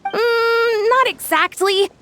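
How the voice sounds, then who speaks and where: A woman's voice: very high-pitched, slow pace, bright, forceful tone, heavily acted. A woman playing a chatty, polite young female engineer in a video game, speaking expressively. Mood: modest, pleased. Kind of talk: video game character acting. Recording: music playing behind.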